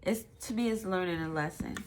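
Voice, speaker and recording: Woman tarot reader, stuffy nose, slightly low voice, loose rambling delivery with some cursing, faint background noise. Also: fairly quick. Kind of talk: casual tarot reading.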